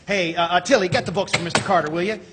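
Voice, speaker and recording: A man playing an earnest, excitable small-town family man in an old-time radio drama, stammering and repeating his words with a husky voice. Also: high voice, very fast, noisy background, lightly acted. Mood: proud, excited. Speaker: actor in a live radio drama. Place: theater stage, live performance. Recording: reverberant theater stage recording.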